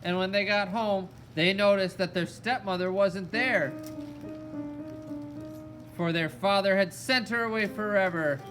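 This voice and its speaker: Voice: medium pitch, steady tone, a little slow, long pauses. A storyteller narrating a fairy tale for a children's puppet show. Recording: loud music alongside the voice. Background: music.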